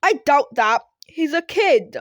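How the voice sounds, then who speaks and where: A woman voicing an excitable, self-important male hero, blustering and incredulous, in a story reading. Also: high-pitched, takes it slowly, no echo, clean studio sound, voice pushed up, full character voice. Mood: incredulous, arguing.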